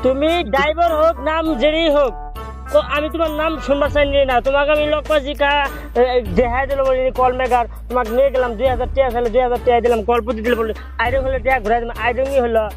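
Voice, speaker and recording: A man's voice: extremely high pitch, level tone, fast talker. A man making a prank call, arguing insistently and complaining in a repetitive, pleading way over music. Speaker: prank caller.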